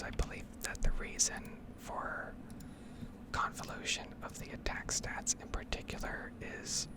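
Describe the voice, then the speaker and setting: Whispering woman, ASMR gaming ramble. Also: low-quality recording. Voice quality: breathy, unvoiced whisper. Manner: rambling, analytical, explanatory. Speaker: ASMR gaming video host.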